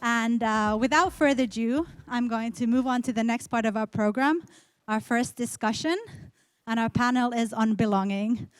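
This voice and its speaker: A woman's voice: on the high side, moderate pace, clean tone, no echo. Bubbly woman welcoming viewers back. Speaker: event organizer.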